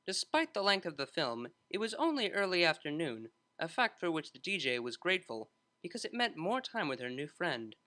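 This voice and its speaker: A narrator reading a story aloud in a scripted, expressive storytelling style. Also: mid-range voice, a little fast, hardly any pauses.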